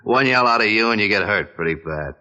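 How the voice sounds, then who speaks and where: A man's voice: fairly low pitch, lively intonation, brisk, pushed and bright. An American actor playing a threatening, slangy tough-guy hoodlum in a muffled old 1940s radio drama recording. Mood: menacing. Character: menacing, slangy low-voiced male hoodlum. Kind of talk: 1940s radio gangster acting.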